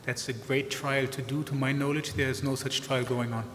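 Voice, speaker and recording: A man's voice: medium pitch, flat delivery, normal speed, a bit bright, slight background noise. Hesitant man answering in an echoing hall. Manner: hesitant, many uh fillers, uneven pace. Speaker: cardiologist panelist. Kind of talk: conference panel answer. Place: large conference ballroom. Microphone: table microphone. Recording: echoey hall with long reverb.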